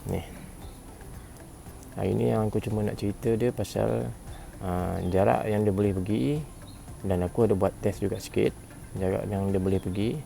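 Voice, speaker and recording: Deep-voiced, gravelly Malaysian man reviewing gadgets. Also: fairly even intonation, moderate pace, long pauses, dark tone, slight background noise. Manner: informal, repeats himself, frequent "eh" tags and throat clearing. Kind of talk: casual product review vlog.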